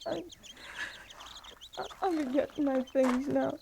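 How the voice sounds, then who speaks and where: An actress playing a bitter, wounded widowed homesteader in an old-time radio western, speaking haltingly and with intense feeling. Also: female voice, sits high, fairly even intonation, creaky, noisy background, exaggerated voice. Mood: bitter, anguished. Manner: halting, emotionally intense, accusing. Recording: old radio drama recording.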